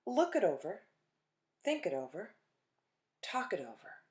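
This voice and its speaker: A woman reading a children's picture book aloud expressively, phrasing deliberately with dramatic pauses. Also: medium pitch, very animated, a little mellow. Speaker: children's storytime reader.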